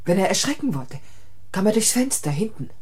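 A weary, traumatized wife in a radio crime play. Her voice is husky and moves through a wide range. She speaks haltingly and intensely, circling back on her own words. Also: medium pitch, sing-song, a little mellow. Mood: bitter, resigned. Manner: halting, repetitive, intense delivery. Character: weary, traumatized wife who killed her tormenting husband. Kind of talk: German radio crime drama acting.

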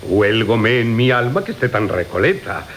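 An actor playing a swaggering, wheedling rogue suitor in a theatrical radio comedy, declaiming in Castilian Spanish with sly muttered asides. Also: male voice, medium pitch, big pitch swings, moderate pace, fairly bright tone. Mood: boastful, courteous. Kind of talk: theatrical Golden Age comedy radio acting. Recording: hissy 1980 radio drama recording.

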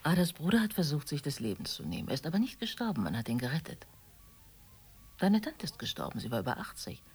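Husky, low-voiced, pragmatic middle-aged woman. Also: very animated, fast, a little mellow, exaggerated voice. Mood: reasoning, consoling. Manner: matter-of-fact, reasoning. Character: pragmatic middle-aged mother, calm, frank, consoling friend. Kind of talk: naturalistic German radio drama dialogue.

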